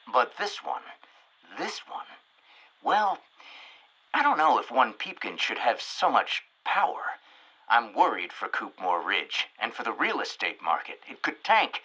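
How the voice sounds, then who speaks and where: Bright, theatrical radio announcer. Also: male voice, mid-range voice, slightly rough, exaggerated voice, rough recording. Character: chatty, dramatic old-style radio show announcer. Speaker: narrator and host. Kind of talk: theatrical scripted radio narration with comic asides.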